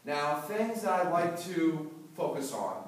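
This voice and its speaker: A man's voice: fairly high pitch, sing-song, slow pace. A teenage boy who stutters, giving a prepared talk to an audience, with repeated words and blocks. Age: teens. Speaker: student speaker. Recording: echoey hall, voice from far off.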